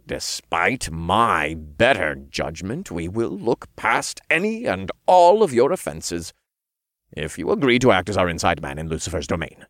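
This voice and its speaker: Man playing a smug, formal archangel, lively intonation. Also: low-pitched, dry recording, studio quality, heavily acted. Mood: measured, defensive. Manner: formal, measured, condescending. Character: smug, stern male archangel, formal negotiator.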